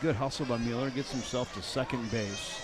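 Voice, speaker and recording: An older man doing play-by-play for a baseball game, chatty and folksy, with light jokes between pitches. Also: normal speed. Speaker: play-by-play announcer. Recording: crowd noise in the background.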